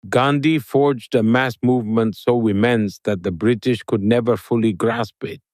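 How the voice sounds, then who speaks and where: A man giving an interview guest's answers in English, with a steady, formal delivery like a prepared speech. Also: mid-range voice, normal speed, no room sound, studio quality.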